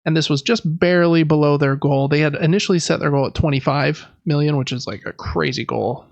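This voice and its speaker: A man with a slightly high voice and a noticeable fry hosts a casual podcast. He talks informally and conversationally, with slangy asides. The recording is dry and close, if a little dull. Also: brisk, no echo. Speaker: podcast host. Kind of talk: casual podcast commentary on industry news.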